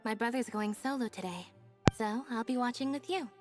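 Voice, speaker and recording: A woman's voice: on the high side, brisk, loud music behind the voice, close to an everyday voice. A woman voicing a cool, composed young woman in a video game. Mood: calm.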